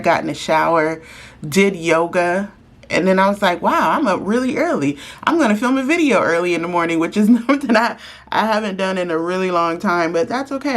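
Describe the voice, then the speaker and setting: Low-voiced congested woman, chatty. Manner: chatty, friendly, slows down while applying makeup. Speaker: beauty vlogger. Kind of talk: casual makeup review.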